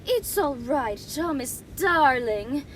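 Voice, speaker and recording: A woman's voice: sits high, animated. A woman playing a haughty, cutting lady investigator in a radio drama, with grandiose, disdainful delivery. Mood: condescending. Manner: grandiose, disdainful, drawn-out emphasis. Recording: roomy echo, clear background noise.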